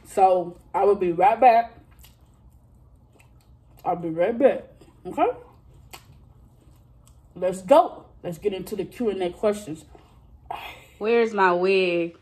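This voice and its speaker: Woman in her thirties, vlogger, unhurried mellow voice, chatty, talks straight to viewers, drawn-out exclamations while eating. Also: medium pitch. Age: 30s. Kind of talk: casual mukbang chat to viewers.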